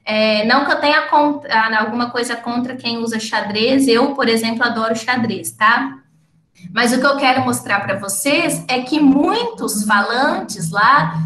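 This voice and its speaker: Woman, lecturer, high voice, explanatory, frequent tag questions, echoey room. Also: fairly bright tone. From not from the city of the event. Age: adult with completed schooling. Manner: explanatory, often ends points with tag questions. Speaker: lecturer and researcher. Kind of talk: academic lecture to teachers.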